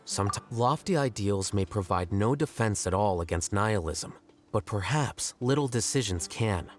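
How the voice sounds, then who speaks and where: An actor playing an aloof, rational young scholar in a video game, speaking in a measured, formal, detached way. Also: male voice, fairly low pitch, animated, slight background noise, close to an everyday voice.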